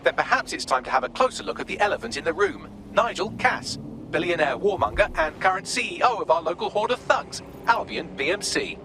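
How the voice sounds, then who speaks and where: A man playing a wry, sardonic intelligence briefer in a video game cutscene, articulate and sharp. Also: sits high, lively intonation, brisk, vocal fry, background noise.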